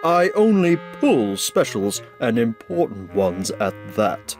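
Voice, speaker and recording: Man acting a self-important storybook steam engine, male, pompous, dismissive, swooping pitch. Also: big pitch swings, takes it slowly, faint music behind. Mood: indignant, scornful.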